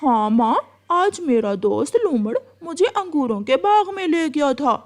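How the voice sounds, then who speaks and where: A woman's voice: sits high, sing-song, measured pace, a little mellow. A woman voicing a naive, cheeky young boy crow in a children's cartoon, boastful and childlike. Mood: happy. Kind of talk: children's animal cartoon voice acting.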